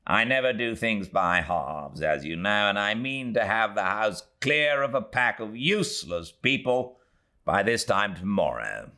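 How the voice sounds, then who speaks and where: A man's voice: lowish voice, lively intonation, unhurried, sharp tone. A man voicing a curt, tight-fisted and impatient Victorian baronet with a British accent, in an audiobook. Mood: brusque. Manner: brusque, commanding. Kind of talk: audiobook character voice.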